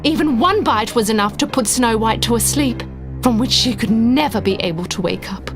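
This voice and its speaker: A woman narrating a scripted children's fairy tale in an expressive storytelling manner. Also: a little high, normal speed, music in the background.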